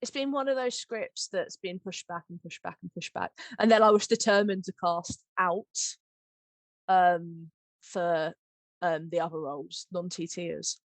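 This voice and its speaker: A woman's voice: lowish voice, measured pace, no echo. A woman hosting a casual, jokey group chat about audio drama, a little short of breath as she talks. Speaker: podcast host and audio drama writer.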